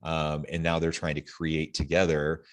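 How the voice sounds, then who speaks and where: A man with a deep voice hosts a podcast interview. He speaks conversationally and wordily, working through his thoughts aloud as he builds up to a question. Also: completely flat delivery, normal speed. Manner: wordy, thinks aloud while framing questions.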